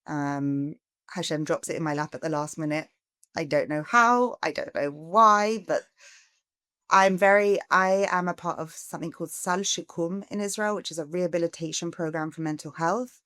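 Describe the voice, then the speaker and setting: Woman, low pitch, dry close recording, earnest podcast guest, middle-aged. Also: moderate pace, dry recording. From England. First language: English. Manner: earnest, animated when appealing for help. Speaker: guest, charity founder. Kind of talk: podcast interview.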